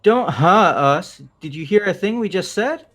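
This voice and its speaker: A man playing a high-voiced, excitable goofy young guy. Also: normal speed, faint music behind. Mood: casual. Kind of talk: amateur voice acting of a video game.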